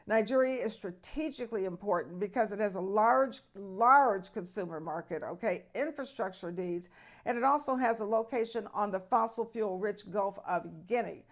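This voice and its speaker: A woman's voice: mid-range voice, normal speed. A woman hosting a news show, reading the stories with chatty, emphatic asides to viewers. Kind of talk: news commentary.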